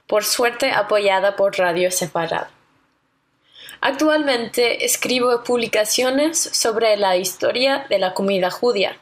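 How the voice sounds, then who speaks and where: A young woman from Connecticut with an American accent, hosting a radio series in a careful, measured, scripted way with small self-corrections. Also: medium pitch. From Connecticut, United States.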